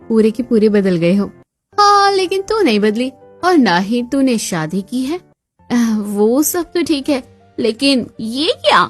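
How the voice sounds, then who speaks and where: A woman acting the dramatic, expressive daughter and chatty female relatives of a cartoon family story. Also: on the high side. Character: young unmarried daughter and her chatty women relatives, expressive. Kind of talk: Hindi cartoon story dialogue. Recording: music bed behind the voice.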